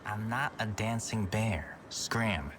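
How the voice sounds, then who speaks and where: Lowish slow gruff thug from a game, threatening, sweary, noisy behind. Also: male voice. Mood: menacing. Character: menacing, foul-mouthed, antisocial tough guy. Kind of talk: video game character acting. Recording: game audio with clear ambient noise.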